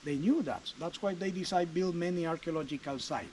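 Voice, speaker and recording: A man's voice: a little high, fairly quick, darkish tone, breathy edge. A man guiding a tour outdoors at a jungle archaeological site, explaining chattily with restarts. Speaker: tour guide. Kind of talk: guided tour talk. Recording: faint outdoor noise.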